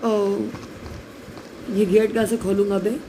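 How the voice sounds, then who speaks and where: A man with a sore throat gives casual, chatty gameplay commentary, talking straight to his viewers and often clearing his throat. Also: sits high, level tone, slow. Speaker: gameplay video creator. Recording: noisy recording with clicks.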